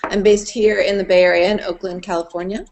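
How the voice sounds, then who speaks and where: A woman's voice: mid-range voice, level tone, fairly quick, darkish tone. A woman, a nonprofit director, giving a professional introduction on a video call. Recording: faint noise on video call.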